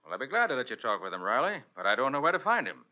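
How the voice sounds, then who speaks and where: A man's voice: medium pitch, very animated, rapid-fire, natural voice. An actor playing a confident, shrewd male private detective in an old-time radio drama. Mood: probing, cool. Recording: dull old radio recording.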